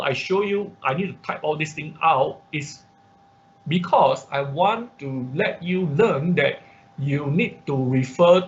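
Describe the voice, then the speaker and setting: A man's voice: on the high side, a bit bright. Man teaching online, dull muffled audio. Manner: halting, repetitive explaining with frequent tag questions. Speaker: instructor of an online robotics class. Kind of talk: informal live tutorial with screen demonstration. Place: online class call.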